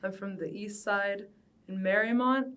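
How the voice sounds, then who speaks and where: A woman's voice: mid-range voice, steady tone, a little slow, fairly bright tone, distant mic. A young American woman speaking casually in an interview, loose and informal, with "like" sprinkled through her sentences. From Cincinnati, United States. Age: young adult. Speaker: band vocalist being interviewed. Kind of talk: casual conversational oral history.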